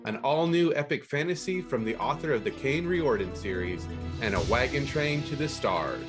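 A man's voice: medium pitch, lively intonation, moderate pace, over music. Man hosting a book podcast. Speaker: stand-in podcast host and book editor. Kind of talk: scripted podcast reading of book news and blurbs.

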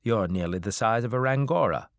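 A man's voice: fairly low pitch, lively intonation, a little fast, no echo, clean studio sound, voice pushed up. A man voicing a nervous, formal male alien ship captain with precise wording.

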